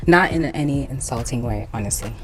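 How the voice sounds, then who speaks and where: A woman's voice: very deep voice, lively intonation, a little fast, a crowd in the background. A woman of Kenyan and Ghanaian parentage, a dating show contestant speaking bluntly and casually.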